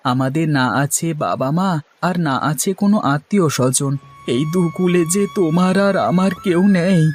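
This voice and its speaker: A man's voice: high voice, a little hiss or hum. A man voicing a caring, poor village husband with an earnest, theatrical delivery in a Bengali fairy-tale cartoon. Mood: amused, teasing. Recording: music under the voice.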